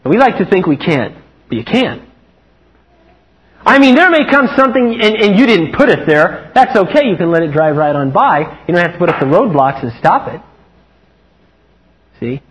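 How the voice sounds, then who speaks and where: Husky high-voiced man preaching. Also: normal speed, pauses often. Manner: animated, playful, acts out quotes in higher voice. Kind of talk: lively sermon with humor. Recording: muffled lo-fi recording.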